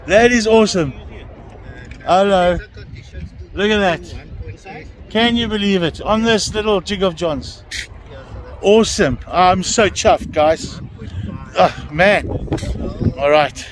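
A middle-aged man chatting excitedly to his viewers while fishing on an outdoor harbour pier, filmed on an action camera. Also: high voice, takes it slowly, pauses often. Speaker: fishing vlogger. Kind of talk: casual vlog narration. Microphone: handheld or body-worn action camera. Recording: loud wind and sea noise outdoors.

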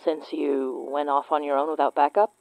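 A woman's voice: deep voice, little pitch movement, normal speed, creaky, dry recording, narrow, tinny audio. An actress playing a wry, concerned female investigator in an audio drama, taking a colleague's statement in a dry conversational way. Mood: concerned, chiding.

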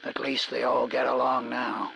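An actor playing a folksy, jittery American survivor in a video game, talking over a two-way radio with stammers and homespun phrasing. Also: male voice, mid-range voice, little pitch movement, moderate pace, gravelly. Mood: somber, wistful. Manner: folksy, drawling small-town turns of phrase, stammering. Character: folksy, jittery survivor named Rusty, stranded among the undead. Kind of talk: video game cutscene acting. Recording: noisy walkie-talkie radio transmission.

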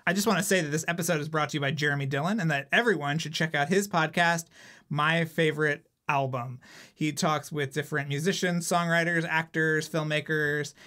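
Bright, higher-pitched male podcast co-host. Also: fairly even intonation, fairly quick. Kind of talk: casual podcast banter.

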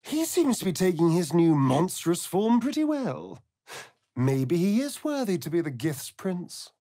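A man's voice: high voice, very animated, unhurried, warm tone, no echo, studio quality, exaggerated voice. A man with an English accent playing a sardonic, aristocratic vampire rogue in a video game, arch, drawling and haughty. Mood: teasing. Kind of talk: video game character dialogue.

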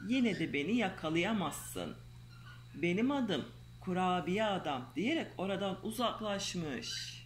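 Soft-voiced mother reading a storybook. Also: lowish voice, expressive, a little hiss or hum. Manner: gentle, coaxing, reads slowly. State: losing her voice. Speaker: mother reading aloud to her daughter. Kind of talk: reading a children's storybook aloud. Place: home bedroom, indoors.

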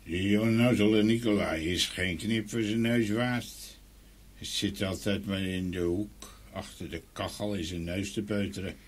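Fairy-tale role: male actor as bossy eldest peasant son, lowish pitch, mocking. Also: fairly even intonation, measured pace. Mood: scornful. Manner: mocking, dismissive. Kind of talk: audio fairy-tale acting.

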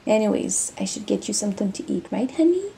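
A woman's voice: medium pitch, big pitch swings, fairly quick, creaky, dark tone, a little hiss or hum. A woman playing a bold, teasing pirate captain in an audio roleplay, speaking in a cocky, flirtatious manner. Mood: affectionate. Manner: cocky, flirtatious, addressing listener with pet names.

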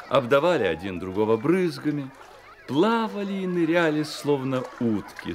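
A man narrating a literary story for a Soviet-era radio play, measured and expressive, with deliberate pauses. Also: medium pitch, background noise. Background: music.

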